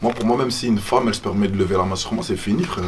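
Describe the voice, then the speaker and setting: A man's voice: on the low side, racing, noisy background. A man speaking as a guest on a debate panel, emphatic and heated. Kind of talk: casual debate chat.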